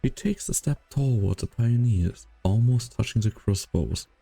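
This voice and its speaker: A man performing scripted dramatic narration as a brooding storyteller, with a gravelly, husky voice. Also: lowish voice, expressive, unhurried, warm tone, faint music behind, heavily acted. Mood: brooding, measured.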